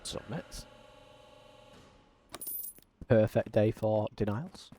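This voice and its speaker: A man chats casually over his gameplay, his voice low-pitched and mellow. He calls his own delivery monotone, and it is dotted with ums and fillers as he narrates what he does on screen. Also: very slow, a little hiss or hum. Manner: self-described monotone, frequent ums. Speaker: gaming channel host.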